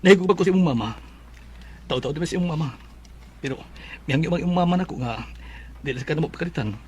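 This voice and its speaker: A fast-talking, fairly high-voiced Filipino man an actor as an eager stepfather. He coaxes affectionately in radio drama style. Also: animated, muffled recording, a little hiss or hum, lightly acted. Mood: coaxing, earnest.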